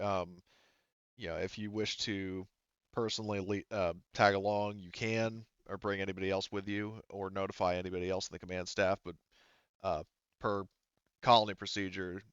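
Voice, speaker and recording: A man acting as game master, casually explaining things to players in a halting, informal way. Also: deep voice, dry recording, dull audio. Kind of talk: casual tabletop game narration and chat.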